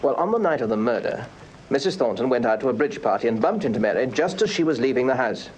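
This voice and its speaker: An actor playing a brisk, businesslike police inspector in a radio crime drama, with a British accent and methodical, matter-of-fact delivery. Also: male voice, medium pitch, lively intonation, slight background noise, natural voice, low-quality recording. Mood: factual, methodical. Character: brisk, businesslike male police inspector, deferential to his chief. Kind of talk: full-cast radio crime drama acting.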